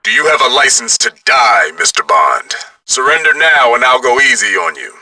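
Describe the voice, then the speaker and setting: Theatrical taunting spy game villain, swoopy delivery, gravelly, slightly distant. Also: male voice, mid-range voice, sing-song, moderate pace, bright, forceful tone, dry recording, exaggerated voice. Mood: mocking menace. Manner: mocking, theatrical threats. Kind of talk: video game villain dialogue.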